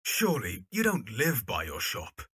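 Polite young male period lead, acted by a man: eager, bright and forceful, husky, mid-pitched. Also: wildly swooping pitch, normal speed, no echo, heavily acted. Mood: curious. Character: Christopher, earnest, polite young Victorian music teacher. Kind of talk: audio drama dialogue.

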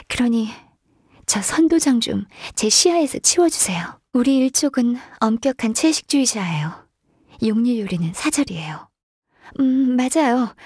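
A woman playing a gentle, shy, earnest half-qilin secretary in a video game, speaking softly and politely with some hesitation. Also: a little high, no room sound, studio quality. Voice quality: soft, breathy. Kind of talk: video game character voice lines.

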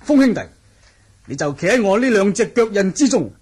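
Forceful martial-arts man, Hong Kong Cantonese radio. Husky, emphatic, widely swinging intonation. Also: sits high, sing-song, fairly quick, heavily acted. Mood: formal, emphatic. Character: formal, forceful martial-arts fighter of a sworn brotherhood, male. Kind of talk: 1980 radio drama acting.

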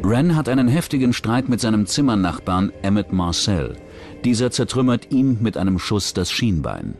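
Low-voiced male documentary narrator. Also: sing-song, moderate pace, music in the background. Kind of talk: scripted true-crime narration.

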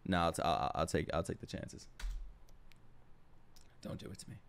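A man's voice: low-pitched, fairly even intonation, moderate pace, creaky. A man streaming live reactions to game videos, joking and swearing in slangy, exclamatory bursts. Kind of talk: live reaction commentary, crude slangy banter. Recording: music playing behind the voice. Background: game trailer music.

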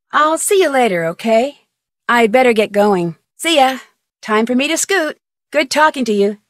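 A woman's voice: a little high, no room sound, studio quality, lightly acted. A woman playing a curious, polite young amateur detective in a video game, asking questions in an inquisitive way. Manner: inquisitive, clear-spoken questioning.

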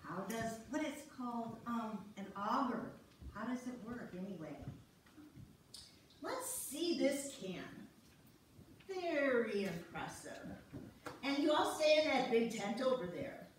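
A woman's voice: on the high side, expressive, takes it slowly. A woman playing a cheerful, gushing, coaxing wife in an amateur stage reading. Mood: coaxing, curious. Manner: gushing, playful, exclamatory. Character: cheerful, coaxing wife visiting husband's ice-fishing camp. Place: church sanctuary, live stage reading. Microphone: distant audience camera. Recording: echoey church hall from far off, noisy.

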